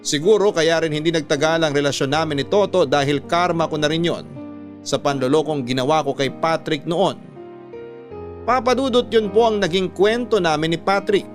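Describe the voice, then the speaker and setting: A man narrating a scripted first-person story as a radio drama narrator reading out a letter. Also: fairly high pitch.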